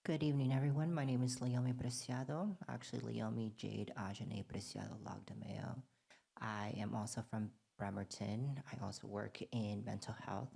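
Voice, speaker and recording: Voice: mid-range voice, gravelly, mellow, husky. A panelist introducing themself in a composed, formal way. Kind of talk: self-introduction.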